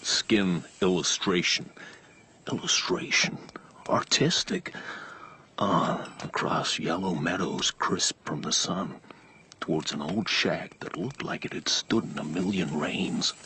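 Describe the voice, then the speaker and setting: Fatalistic ex-carnival man: extremely low voice, terse brooding storyteller, hushed and weary, faint ambience. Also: animated, slow pace, slight background noise, heavily acted. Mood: haunted recollection. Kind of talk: radio drama storytelling monologue.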